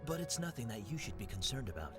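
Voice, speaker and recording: A woman voicing a kind, gentle elderly grandfather in a visual novel, with a soft, reassuring delivery. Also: extremely low pitch, sing-song, quick pace, music in the background, pitched below the performer's own voice. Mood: earnest.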